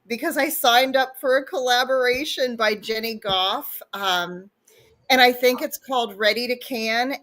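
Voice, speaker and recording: A woman's voice: a little high, fairly bright tone. A sniffly woman chatting casually and enthusiastically as co-host of a livestream, laughing often and talking over a video call.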